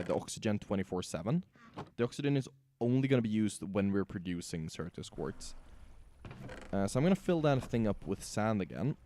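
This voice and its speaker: Hoarse male game streamer, low mellow voice, lively pitch, thinks aloud while playing. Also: slight background noise. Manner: talks to himself while building machines. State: raspy, sore voice. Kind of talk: casual gameplay commentary.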